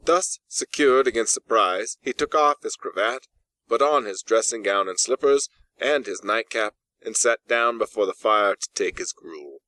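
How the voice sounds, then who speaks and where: A man reading a classic ghost story as an audiobook narrator, with deliberate, dramatic phrasing and emphatic pauses. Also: on the low side, pushed and bright, no room sound.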